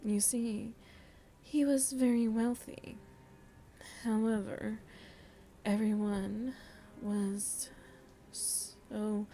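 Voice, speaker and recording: A slow, softly breathy vampire seductress played by a woman murmurs to the listener with worldly, teasing tenderness. Her mellow voice keeps an even, narrow pitch and often sinks into a whisper, as in an intimate ASMR roleplay. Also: a little hiss or hum. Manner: slow, deliberate, musing, addresses listener tenderly. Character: seductive motherly female vampire, worldly, philosophical, gently teasing.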